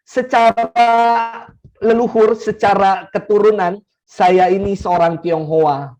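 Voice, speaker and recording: Slow-speaking Indonesian priest, mellow voice. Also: male voice, high-pitched, steady tone, faint music behind. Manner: unhurried, repeats key phrases for emphasis, chuckles. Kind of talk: teaching talk to a congregation. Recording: slightly dull lo-fi recording.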